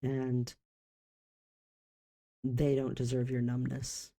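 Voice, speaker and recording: A man around forty speaks in a very mellow, dark voice with plenty of fry. His intonation stays fairly flat. He talks in short, reflective phrases with pauses, as in a personal vlog. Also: medium pitch. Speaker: vlogger.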